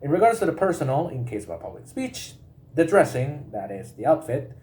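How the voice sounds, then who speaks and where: A man reads a prepared informational text aloud in a halting way, often breaking off in the middle of words and repeating them. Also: on the high side, very animated, a little mellow.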